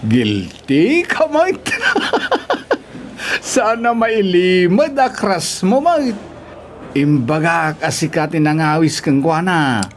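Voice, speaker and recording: A man's voice: fairly high pitch, very animated, slow pace, slight background noise, exaggerated voice. An actor with an Ilocano accent playing a teasing, mischievous, cocky male workmate in a radio-style drama.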